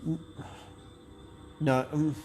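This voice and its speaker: A man's voice: fairly high pitch, little pitch movement, drawn-out words, mellow. A man commentating his own card game match, thinking aloud in a hesitant, self-doubting way, with many restarts and fillers. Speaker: gameplay commentator.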